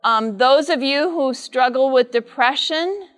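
A middle-aged woman with a loud voice speaks live to a conference audience, teaching and asking them frequent questions. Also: high-pitched, measured pace, clean tone. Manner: says she has a loud voice. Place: large conference room with air conditioner.

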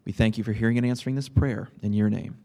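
A man leading an opening prayer at a seminar, speaking haltingly with many fillers. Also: lowish voice, fairly even intonation, mellow. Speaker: seminar panelist. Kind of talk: spoken prayer and self-introduction. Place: convention seminar room. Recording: hall reverb.

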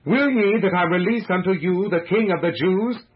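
A man voicing a troubled Roman governor who appeals earnestly and loudly to a crowd in a religious story. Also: high-pitched, normal speed, narrow, tinny audio, voice pushed up, natural voice. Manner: earnest, loud public oratory. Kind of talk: dramatized religious story reading.

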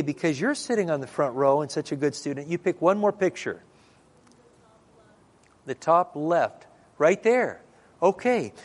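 A middle-aged man, a pastor, telling stories in a sermon and acting out the dialogue in a lively way. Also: fairly high pitch. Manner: lively storyteller who acts out dialogue. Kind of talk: storytelling sermon. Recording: long reverb in a large hall.

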